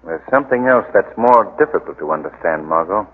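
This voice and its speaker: A man playing a calm, probing gentleman detective in an old radio mystery. His polite delivery moves widely in pitch, over a tinny, reverberant recording. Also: natural voice. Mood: polite, suspicious. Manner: measured, polite. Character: composed, inquisitive gentleman sleuth. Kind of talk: 1940s radio acting. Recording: tinny, hissy 1940s radio, long reverb.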